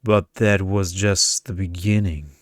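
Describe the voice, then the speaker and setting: A man's voice: low-pitched, steady tone, slow, no echo, studio quality. A man voicing a pedantic, seductive energy vampire in an ASMR story, lecturing in a pompous drone. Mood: droningly enthusiastic. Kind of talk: ASMR storybook character reading.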